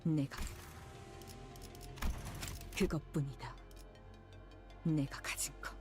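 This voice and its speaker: Woman playing weary swordswoman, grim protective mother, solemn, terse, very fast delivery, dramatic game acting, faint music. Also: deep voice, natural voice. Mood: solemn, resolute. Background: background music.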